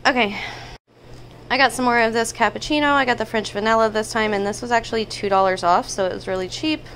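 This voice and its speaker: A woman in her thirties speaks in a fairly high voice. She chats informally, listing items as a vlog host. There is some household noise behind her. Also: fairly even intonation, fast. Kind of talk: casual chatty grocery haul narration. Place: indoors in a home kitchen.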